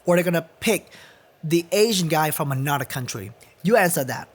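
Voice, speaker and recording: A man's voice: fairly high pitch, animated, a little fast. A man from Vietnam with a Vietnamese accent, speaking English as a second language as a guest musician on a podcast. He talks earnestly and emphatically, repeating phrases to drive his point home, in a recording studio. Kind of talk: casual interview conversation.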